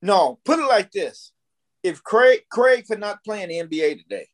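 A man's voice: high-pitched, big pitch swings, moderate pace. An American man in his fifties telling stories casually, blunt and opinionated, repeating himself for emphasis. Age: 50s. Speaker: podcast guest. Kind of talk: casual storytelling conversation.

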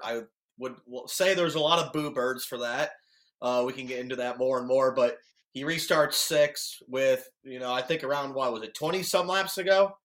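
An American man with a raspy voice, hosting a sports podcast and recapping action in a casual, chatty way. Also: medium pitch, a bit bright. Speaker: podcast host.

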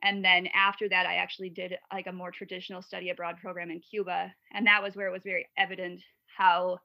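A woman from Wisconsin talks as a podcast guest, her voice low and gravelly with a flat, even melody. She rambles with fillers and restarts. The recording is dry and dull, with a lo-fi sound. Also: fast talker, dry recording. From Wisconsin, USA. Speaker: podcast guest, mother of three. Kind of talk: casual interview storytelling.

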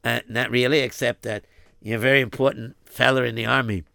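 A man's voice: mid-range voice, steady tone, a little fast, vocal fry, sharp tone, no echo, clean studio sound, pitched below the performer's own voice. A man voicing a humble young religious student who chants in a sing-song and answers with hesitant stammers. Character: humble young male yeshiva student, devout singer.

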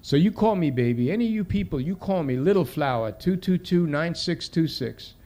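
A man's voice: fairly high pitch, lively intonation, moderate pace, warm tone, pitched above the performer's own voice. A man voicing a young, streetwise ex-addict caller, earnest and preachy, pep-talking in slangy street rap. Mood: warm, proud.

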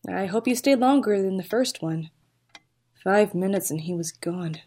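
Audio drama mother, female actor: grieving and haunted, dark mellow tone, fragile, wistful delivery. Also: mid-range voice, normal speed, husky, close to an everyday voice. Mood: brittle politeness. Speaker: actress in an audio play.

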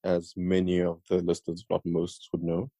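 A man's voice: low voice, monotone, moderate pace, dark tone, no room sound, studio quality. A young South African man co-hosting a podcast, chatting casually and joking with his co-host.

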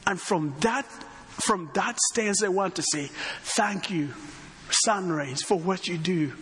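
A man's voice: sits high, slow pace, a bit bright, in a large hall. A man from Rwanda, with his own accent and a slight Texan touch, preaching as a guest pastor, emphatic and full of repeated phrases and call-outs. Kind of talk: sermon.

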